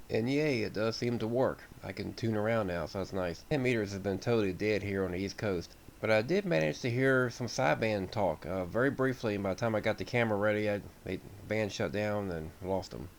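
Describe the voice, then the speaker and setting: A man's voice: lowish voice, a bit bright, slight background noise. An American man giving an informal, folksy repair walkthrough as a radio hobbyist, thinking aloud without a script.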